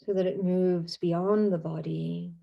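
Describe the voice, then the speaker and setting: A woman guiding a meditation in a soothing, unhurried manner, speaking in short phrases with long pauses. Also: on the low side, fairly even intonation, gravelly, dull audio. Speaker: meditation guide. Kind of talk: guided meditation narration.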